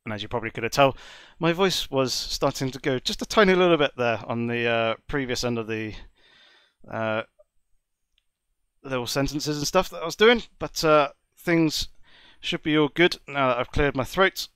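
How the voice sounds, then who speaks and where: A man's voice: medium pitch, fairly bright tone, clean tone, no echo, studio quality. Congested man commentating sim racing. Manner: measured, wordy, polite. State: has a cold, slightly congested. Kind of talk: live esports race commentary.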